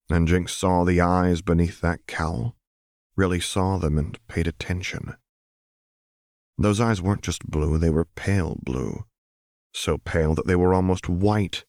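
A man's voice: sits low, a little mellow, no room sound, clean studio sound. A man reading scripted fiction as a dramatic audiobook narrator.

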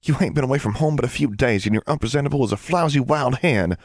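A prim teenage country girl played by a male narrator fusses. The pitch is pushed well up and swoops about as she scolds, in a dry audiobook recording. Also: very animated, normal speed, no room sound. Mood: scolding, alarmed. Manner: shocked, fussing, folksy.

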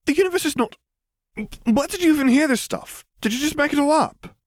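A man's voice: extremely high pitch, lively intonation, brisk, no echo, clean studio sound, voice pushed up. A man voicing a bossy, bookish young schoolgirl in an audiobook, in an emphatic, breathless, overbearing manner. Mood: outraged. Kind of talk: audiobook character voice.

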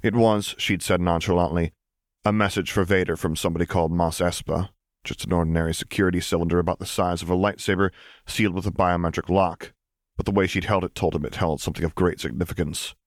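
A man's voice: low voice, steady tone, fast talker, no echo, clean studio sound. A man reading a scripted story as an audiobook narrator.

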